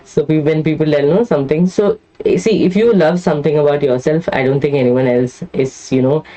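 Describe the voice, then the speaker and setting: A young Indian woman teaching an online biology class. She has a deep voice with plenty of bass, speaks straight to her students, and tags her sentences with "okay?". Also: moderate pace, mellow. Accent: Indian English. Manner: talks to students directly, tags many lines with "okay?". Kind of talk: live online class, chatty motivational aside. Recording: dull lo-fi online class audio.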